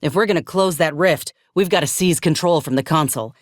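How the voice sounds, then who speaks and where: An actress voicing a frank, loyal frontier soldier in a video game. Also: female voice, low voice, fairly quick, dry recording, clean studio sound, natural voice. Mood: grave, frank. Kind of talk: scripted video game character dialogue.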